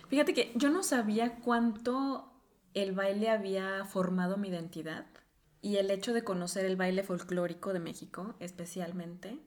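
A woman's voice: medium pitch, a little mellow. A woman hosting a podcast, asking her guest questions in a casual, curious way. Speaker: podcast host.

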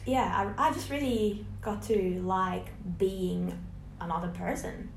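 A woman's voice: mid-range voice, animated, takes it slowly, vocal fry, a little hiss or hum. Chatty young woman. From Hungary. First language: Hungarian. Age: young adult. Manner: chatty, laughing, anecdotal storytelling. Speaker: interview guest, graduating student. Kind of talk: casual chat. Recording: echoey room.

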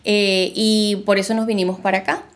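Woman co-host, mid pitch, relaxed and halting. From Argentina, raised in Venezuela. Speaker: podcast co-host, realtor. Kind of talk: casual podcast conversation.

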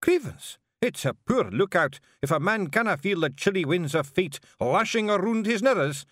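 A man voicing a tiny, fierce male pixie clan chief with a gruff, blustering Scottish accent. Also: high-pitched, expressive, moderate pace, fairly bright tone, no room sound, clean studio sound, voice pushed up. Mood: exasperated. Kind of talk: audiobook character voice.

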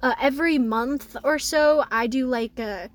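A young woman co-hosting a casual car vlog, loud and rambling, swearing often, recorded on a phone set down in the car. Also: high-pitched, measured pace. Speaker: vlog co-host.

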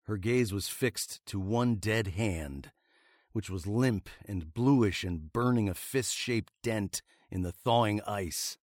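A wry, low-voiced western outlaw narrator. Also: male voice, takes it slowly, dry recording, studio quality. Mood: grave, wry. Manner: literary, sardonic storytelling. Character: wry fugitive western outlaw hero narrating his tale. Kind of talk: dramatic radio drama narration.

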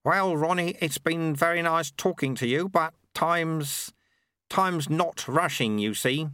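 A man doing a calm ancient monk. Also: a little high, takes it slowly, no room sound, clean studio sound, pitched above the performer's own voice. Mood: calm polite. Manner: polite, calm.

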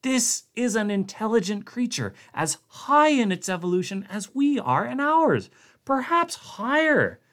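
Male reader as a jittery little scientist, high and lively, pleading his case. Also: normal speed, few pauses, full character voice. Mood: frantic. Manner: excited, insistent, lecturing. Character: small, bony, eager male scientist.

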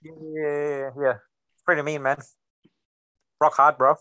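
Young male co-host, Singaporean-Kiwi accent, relaxed bro banter, bright tone, dry sound. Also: on the high side, measured pace, clear, resonant tone, no echo, rough recording. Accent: mixed Singaporean and New Zealand. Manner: laid-back, joking, bro slang. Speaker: podcast co-host. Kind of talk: casual podcast chat.

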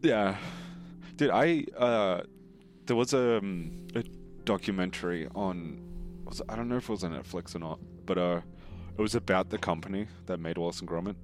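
Young male co-host, very low voice, dry deadpan humor. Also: moderate pace, soft background music. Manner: dry, deadpan absurd jokes. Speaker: podcast co-host. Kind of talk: casual banter over a game.

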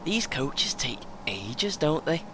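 A man playing a chatty, excitable, over-prepared first-time runner in an audio play, speaking in clipped short phrases. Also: a little high, takes it slowly. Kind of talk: naturalistic audio play acting. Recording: clear background noise, vehicle ambience.

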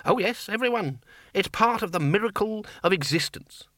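A man's voice: high-pitched, expressive, normal speed, a bit bright, no echo, studio quality, voice pushed up. A man voicing a pedantic, sarcastic wizard philosopher in a comic fantasy audiobook, with a nitpicking manner. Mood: marvelling. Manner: nitpicking, mockingly rhetorical.

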